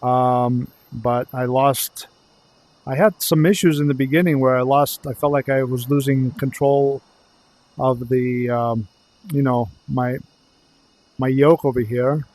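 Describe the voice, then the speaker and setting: A man thinking aloud haltingly as he plays a pilot in a flight simulator, mixing commentary with radio calls. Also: mid-range voice, steady tone, darkish tone, no echo.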